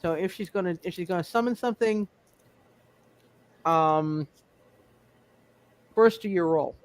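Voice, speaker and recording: A game master explaining summoning rules to a player, stopping and restarting often. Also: medium pitch. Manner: halting, restarts while explaining.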